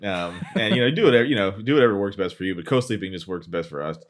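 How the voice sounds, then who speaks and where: A man's voice: low voice, rapid-fire. A man in his thirties from Florida, co-hosting a podcast in casual, rambling chat about fatherhood. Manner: relaxed, rambling, self-deprecating. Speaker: podcast co-host.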